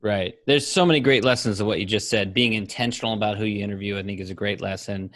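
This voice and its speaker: A man's voice: on the low side, fairly even intonation, fast, a bit bright, no room sound, studio quality. A man hosting a podcast, speaking in a conversational presenting style as he introduces and interviews. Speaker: podcast host.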